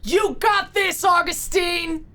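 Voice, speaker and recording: A woman voicing a brash, defiant young woman who calls out loudly and cockily in an audiobook drama. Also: very high-pitched, fairly even intonation, slow, pitched above the performer's own voice, heavily acted. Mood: cheering. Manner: loud, cocky, theatrical calling out. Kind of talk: audiobook character acting.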